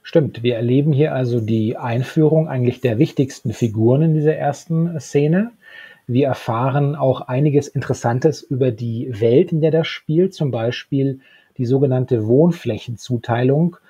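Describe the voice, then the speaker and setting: A man in his thirties co-hosting a podcast, discussing a radio drama in a conversational review style, with a husky voice. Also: medium pitch, moderate pace, dark tone. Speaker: podcast co-host. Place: home room with bookshelves. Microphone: desktop microphone, close.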